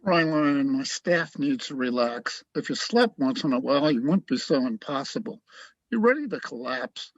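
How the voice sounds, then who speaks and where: A cynical, hard-drinking army doctor played by a man talks bluntly and wearily in a rough, husky voice full of creak. It is radio-play acting, recorded dry with a slightly dull tone. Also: medium pitch, animated, moderate pace, no room sound. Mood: dismissive, irritated. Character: tipsy, cynical, bigoted army doctor at the front.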